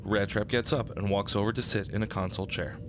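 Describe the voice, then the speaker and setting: A man's voice: on the low side, fairly even intonation, a little fast, background noise. A man narrating a scripted radio drama, describing the action in the present tense. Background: music.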